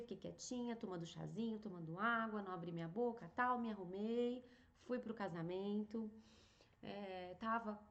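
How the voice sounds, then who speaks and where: A woman, hosting a podcast and telling a personal story in a chatty, animated way, with many fillers and restarts. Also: mid-range voice. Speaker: singer and podcast host. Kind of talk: casual storytelling monologue.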